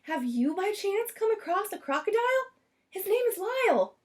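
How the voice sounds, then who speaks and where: A woman's voice: very high-pitched, sing-song, moderate pace, voice pushed up. A woman voicing a frantic, polite lady who is searching for a lost pet in a storybook. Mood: anxious, pleading. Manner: urgent, politely pleading.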